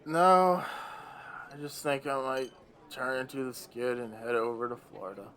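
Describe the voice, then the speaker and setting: Guy acting tired old devil in a radio play, bitter and sardonic, theatrical grumbling, medium pitch. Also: fairly even intonation, moderate pace, a bit bright, close to an everyday voice, poor audio. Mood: wry, grumbling. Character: weary, bitter old Devil ruefully facing retirement.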